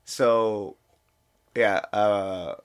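A man's voice: lowish voice, steady tone, very slow, clean tone. An American-born man in casual podcast chat, joking and swearing freely. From United States.

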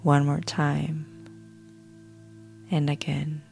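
A woman podcast host narrating a guided sleep hypnosis in a soft, soothing way, with breathy phrase endings. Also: sits low, level tone, very slow. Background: background music.

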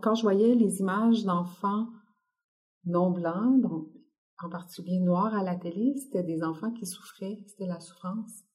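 A middle-aged woman from Quebec with a Québécois accent, talking casually as an interview guest and pausing often on fillers. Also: on the low side, dark tone. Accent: Québécois French. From Quebec, Canada. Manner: hesitant, many fillers. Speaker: guest artist.

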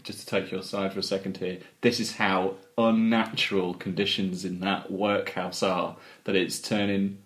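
A man's voice: low-pitched, little pitch movement, normal speed, few pauses, a bit bright. A man bantering casually as a co-host, wry and a little hesitant. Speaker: podcast co-host. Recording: echoey room.

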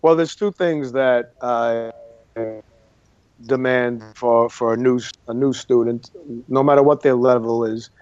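A man's voice: medium pitch, steady tone, a little slow, a little mellow, highs cut off, faint music behind. A man from Boston, an acting coach, talking earnestly as a guest in a casual interview and repeating words for emphasis. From Boston, Massachusetts.

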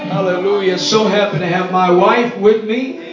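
A man from Brazil, a Portuguese native speaker, preaching fervently in English and interpreting a testimony, at times shouting praise. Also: high-pitched, steady tone, normal speed, music in the background. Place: church sanctuary. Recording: echoey church heard from far off, dull.

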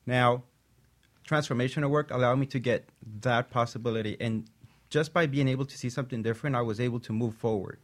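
Male guest, average pitch, animated testimonial delivery. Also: fast talker. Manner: animated, acts out discouraging voices. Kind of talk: motivational testimony.

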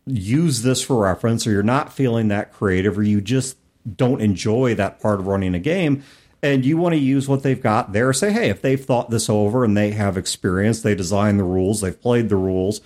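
A man's voice: fairly low pitch, moderate pace, a little mellow. A man hosting a podcast, talking casually and reflectively in a self-deprecating way. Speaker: podcast host. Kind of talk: casual tabletop gaming podcast talk.

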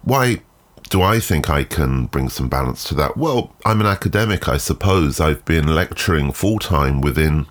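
A man hosting a solo photography podcast, sounding nasal and congested from a cold, speaking in a measured, thoughtful way with frequent ums. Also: a bass voice, expressive. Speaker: podcast host. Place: garden shed.

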